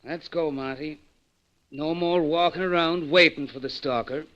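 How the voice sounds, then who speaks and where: An actor playing a weary, grumbling beat cop in an old-time radio drama, slangy and plain-spoken. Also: male voice, on the high side, normal speed, a bit bright, dull audio, close to an everyday voice.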